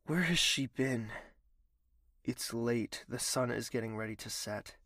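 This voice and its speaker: A man's voice: mid-range voice, a little slow, fairly bright tone, no room sound, studio quality. A man playing an irritable, suspicious prince in an audio drama, speaking in a terse, brooding way. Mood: irritated, brooding.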